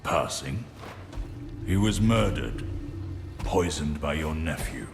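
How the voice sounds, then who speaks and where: A shrewd old nobleman from a fantasy game, with a very deep voice. He is grave and ominous, delivering each warning with deliberate weight. The room echoes and music plays behind him. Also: expressive, full character voice. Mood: foreboding. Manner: deliberate, portentous. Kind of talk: dramatic fantasy game acting. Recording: roomy echo, music behind.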